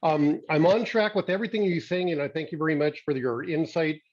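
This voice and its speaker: A hoarse man attending an online workshop, asking a long question in a halting way while searching for words. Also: a little high. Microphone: video call. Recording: dull video call audio.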